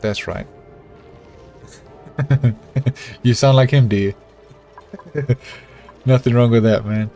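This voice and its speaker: A man's voice: mid-range voice, slow, long pauses, soft background music. A middle-aged man with a South African accent, chatting with his live chat as he plays a colony-building game. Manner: relaxed, chatty, talks to himself while building. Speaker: game streamer. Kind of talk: live gameplay commentary with chat.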